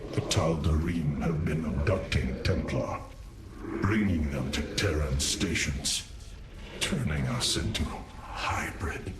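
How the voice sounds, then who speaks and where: A man voicing a solemn alien warrior in a video game, measured and formal in delivery. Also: rumbling low. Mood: solemn, grateful. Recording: echoing, noisy game audio.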